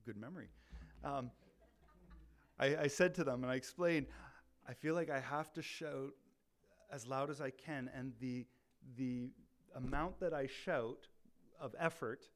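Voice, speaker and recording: Man, loud voice, animated, casual faith talk, repeats words. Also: medium pitch, unhurried, pauses often. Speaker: ministry leader.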